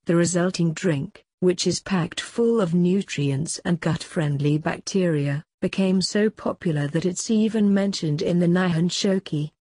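Lowish, husky woman reading aloud. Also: a little slow, hardly any pauses, warm tone, no room sound. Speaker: exam sample reader. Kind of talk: scripted read-aloud of practice texts.

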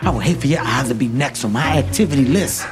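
An actor playing a bumbling man mistaken for a hitman, bluffing and fast-talking, swinging to loud threats. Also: mid-range voice, lightly acted. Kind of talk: film comedy acting. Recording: film audio under music.

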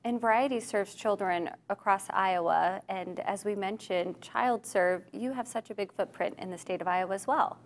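A woman's voice: mid-range voice, normal speed, few pauses. A woman in her thirties with an American accent, presenting and interviewing as host of a lifestyle TV show. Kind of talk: scripted presenting and friendly interviewing.

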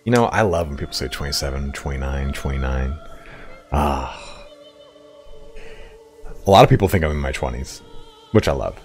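Deep, animated man streaming a game. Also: soft background music. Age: 30s. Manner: loud, excitable and profane, quick swings in pitch. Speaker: YouTube streamer. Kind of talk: live-stream chat while playing a strategy game.

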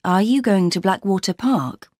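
A woman voicing a steady, protective young heroine in an audiobook, speaking gravely and deliberately. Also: mid-range voice, no room sound, clean studio sound, close to an everyday voice. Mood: guarded. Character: protective, remorseful sister figure, steady and grave.

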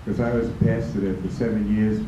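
A man's voice: fairly low pitch, fairly even intonation, brisk, noisy background. An older man, a priest, standing in an audience and speaking earnestly into a handheld mic, stumbling over repeated words. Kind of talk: audience comment at Q&A. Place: large conference hall. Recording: dull echoey hall.

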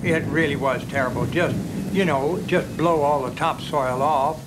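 A man's voice: on the high side, unhurried, noisy background. A man in his seventies with a rural Kansas accent, a farmer reminiscing in a folksy, plain-spoken way. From Kansas, United States. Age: 70s. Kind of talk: casual interview reminiscence.

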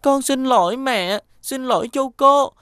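A woman's voice: sits high, unhurried, clear, resonant tone, dry recording, studio quality. A woman voicing a playful, careless young boy who chatters eagerly in a dubbed cartoon. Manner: eager, excitable child's chatter. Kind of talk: cartoon dubbing.

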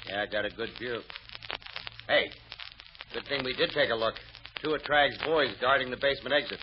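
A man's voice: mid-range voice, moderate pace, close to an everyday voice. Through the hiss of a dull old radio recording comes a man acting as an American private eye. He is a slangy, skeptical sidekick with a mid-range voice, wary and given to wisecracks. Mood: skeptical, concerned. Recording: noisy, muffled vintage radio recording.

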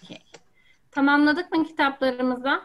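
A woman's voice: high-pitched, measured pace, dull audio. A woman with a Turkish accent teaching an English class over a video call, patiently calling on students.

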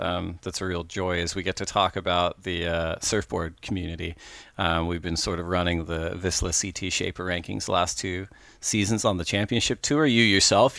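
A middle-aged male podcast host talks into a headset mic from a home office, relaxed and conversational. His voice sits low with a gravelly edge. The sound is close and dry, with no room echo. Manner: relaxed, conversational, animated storytelling. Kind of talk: casual interview over video call.

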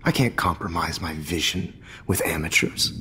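An actor playing a cold, arrogant middle-aged American photography teacher turned killer in a video game, smug, measured and menacing, with a breathy near-whisper. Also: male voice, sits low, sharp tone. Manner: smug, measured, menacing, prone to sudden outbursts. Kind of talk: dramatic video game acting. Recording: reverberant room with ambient noise.

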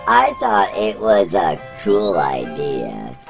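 A man voicing a small gentle alien who reads a children's storybook slowly and haltingly, croaky and breaking into squeaks, over instrumental music. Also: wildly swooping pitch, heavily acted. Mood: halting storytelling. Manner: slow, halting, childlike storytelling with swooping pitch. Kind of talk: storybook reading in a character voice.

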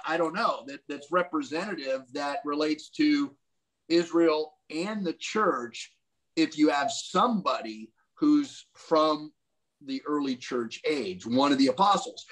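An older man talks from a room at home over a webcam. His voice sits fairly high, and he muses aloud, circling back and restarting as he thinks. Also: lively intonation, unhurried, a bit bright, low-quality recording. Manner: thoughtful, musing, many restarts. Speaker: co-host discussing Bible prophecy. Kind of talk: casual video call discussion. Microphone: video call webcam.

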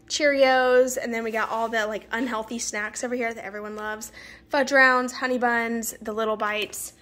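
A woman's voice: fairly high pitch, a bit bright, a little hiss or hum. A woman, chatting casually through a grocery haul in her home kitchen and laughing often. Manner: chatty, laughs often, talks to viewers as y'all. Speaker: vlogger.

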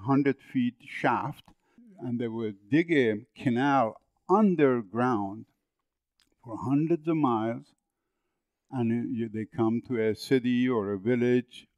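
A man's voice: medium pitch, a little mellow, no echo, lo-fi sound. Iranian-accented man in his seventies. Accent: Persian. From northern Iran. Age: 70s. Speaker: guest, agricultural engineer. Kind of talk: podcast interview.

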